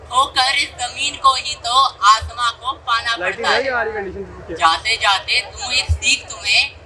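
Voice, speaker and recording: A poet giving a measured, declamatory recitation in a dramatic slam style. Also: medium pitch. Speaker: poet performer. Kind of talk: dramatic slam poetry recitation in first person as the sky. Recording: distant mic in noisy event crowd.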